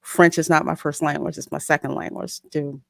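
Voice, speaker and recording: A woman with a low voice talks in a casual, explanatory way as a podcast host. The sound is close and dry, with very little room around it. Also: flat delivery, a little fast, gravelly, a bit bright, no echo. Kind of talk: casual discussion.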